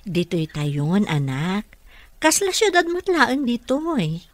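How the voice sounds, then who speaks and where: A woman's voice: mid-range voice, wildly swooping pitch, slow pace, dry recording. An actress with an Ilocano accent playing a caring, respectful nurse and mother in a radio drama. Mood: tender.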